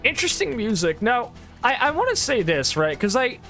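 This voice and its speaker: Lively high-voiced congested male streamer. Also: a little fast, faint music behind. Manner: excitable, swears often, laughs a lot. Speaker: streamer playing a shooter. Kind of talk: casual gameplay chat.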